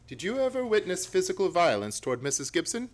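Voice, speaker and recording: A man performs a self-assured gentleman detective in a radio play. The voice is fairly high and very animated. He reasons aloud with courteous but emphatic, theatrical delivery. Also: quick pace. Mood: assured, probing. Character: confident, analytical gentleman detective, incisive and courteous.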